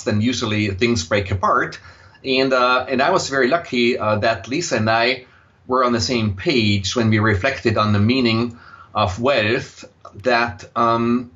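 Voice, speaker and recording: A man's voice: mid-range voice, a bit bright. Austrian-accented older man, dull audio. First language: German. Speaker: podcast guest, impact investor and former tech executive. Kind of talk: conversational personal account in an interview.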